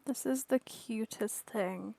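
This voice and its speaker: A woman's voice: on the high side, slow, creaky, noisy background. A woman streamer giving casual commentary while playing through a video game.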